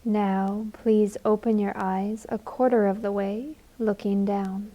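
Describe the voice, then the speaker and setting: A woman leading a guided meditation in a gentle, soothing manner, leaving long unhurried pauses. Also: mid-range voice, flat delivery, muffled recording.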